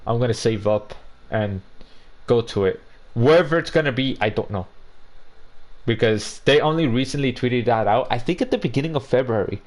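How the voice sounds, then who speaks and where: A man's voice: mid-range voice, normal speed. A man of about twenty chatting casually on a podcast as co-host, rambling and restarting his sentences. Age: 20s. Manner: rambling, repeats and restarts words. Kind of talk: casual chat.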